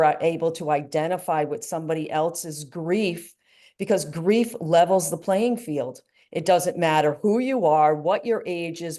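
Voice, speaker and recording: A woman's voice: sits low, dark tone. A woman guest speaking as a grief coach, answering earnestly and reflectively, with frequent "you know"s. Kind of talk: interview answers.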